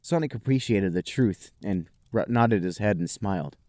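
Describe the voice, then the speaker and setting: A man's voice: fairly low pitch, expressive, normal speed, a little mellow, dry recording, studio quality. A man hosting a podcast, narrating amateur fan fiction for comedy in a mock-serious way. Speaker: podcast host.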